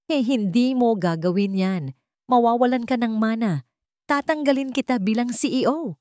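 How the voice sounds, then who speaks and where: A woman's voice: on the high side, moderate pace, mellow, no echo, clean studio sound, voice pushed up, lightly acted. A woman voicing an imperious, scolding, wealthy older mother in an audio drama, with a Filipino accent. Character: domineering, indignant rich older mother.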